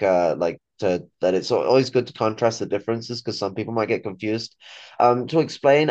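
A man's voice: medium pitch, a little mellow. A young adult man in casual political discussion, rambling and swearing freely. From Ireland. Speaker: political forum guest. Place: small room at home, video call. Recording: dull lo-fi call audio.

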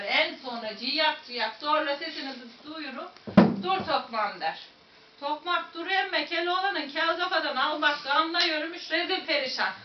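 A woman with a Central Anatolian Turkish accent telling a folk tale in a lively, dramatic way, speaking to her listeners in a museum room. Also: high-pitched. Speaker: storyteller. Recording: echoey room, distant, dull, faint noise.

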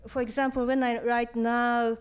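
Woman, 50s, Japanese accent, halting speech, audience Q&A, stand mic on stage. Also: on the high side, little pitch movement, clear, resonant tone. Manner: halting, searching for words, with soft laughs. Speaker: author answering audience questions. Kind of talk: live Q&A at a reading. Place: lecture room on stage. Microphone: stand mic in front.

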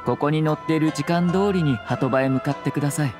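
Man voicing a courteous, refined official, lightish pitch. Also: over music, lightly acted. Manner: formal, measured, polite. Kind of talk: Japanese anime game voice acting.

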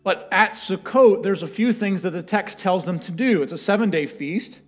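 A man in his thirties preaching from a church stage, telling history with lively, building emphasis. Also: high voice, moderate pace, faint music behind. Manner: animated storytelling, building to loud chanted quotes. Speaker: pastor. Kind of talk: sermon. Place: church stage with a lectern, large indoor room.

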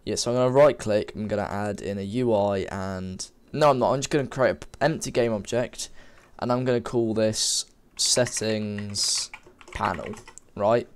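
A man with a somewhat low voice, a little stuffed up and sniffly from a cold. He talks informally at his desk, wandering and correcting himself as he types through a game-making tutorial. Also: moderate pace, clear, resonant tone. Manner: informal, rambling, self-correcting while typing. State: has a cold, a bit sniffly. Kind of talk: casual screen-recorded coding tutorial. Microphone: desk microphone.

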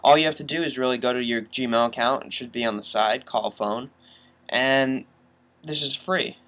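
A man's voice: mid-range voice, normal speed, a bit bright. Casual young man, tech vlogger. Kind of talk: casual screen-recorded tutorial.

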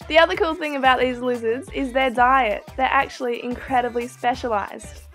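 A woman's voice: high-pitched, normal speed, a bit bright, faint music behind. A young Australian woman giving casual, enthusiastic wildlife commentary in a gushing, chatty way with informal slang. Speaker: wildlife vlogger.

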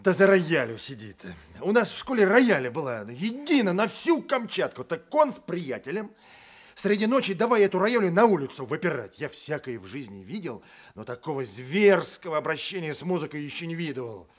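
Blunt provincial sergeant, earthy, emphatic, animated intonation, radio play. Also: male voice, a little high, moderate pace. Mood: indignant. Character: blunt, indignant, loyal provincial Cossack police sergeant. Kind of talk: Soviet radio play acting.

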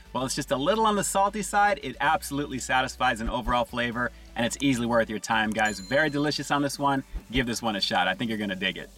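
A man in his forties reviewing fast food from inside a parked car, upbeat and enthusiastic, talking to viewers. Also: medium pitch, fairly bright tone, far from the mic. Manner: upbeat, enthusiastic, often says "guys".

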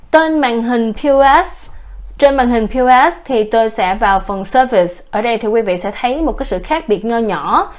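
A woman with a fairly high voice narrates a software tutorial over a screen recording. She explains each step in order, in a formal, courteous way, and now and then restarts a phrase. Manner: formal, addresses viewers politely as quý vị. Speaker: software demonstrator.

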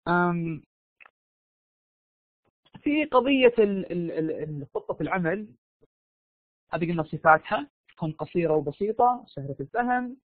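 A man with a Saudi accent and a tired, strained voice, lecturing a live online class informally, repeating phrases and prodding students to answer. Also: high voice. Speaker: university lecturer. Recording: dull lo-fi online audio, roomy echo.

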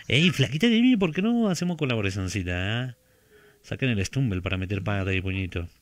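A man's voice: medium pitch, fairly bright tone. Chatty man streaming a game on headset. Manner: chatty, repeats words, laughs often. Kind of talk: casual gaming stream chat.

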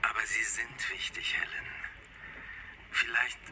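A man's voice: medium pitch, flat delivery, measured pace, music in the background, rough recording. An actor playing a caring, reassuring male doctor on the telephone in a radio play.

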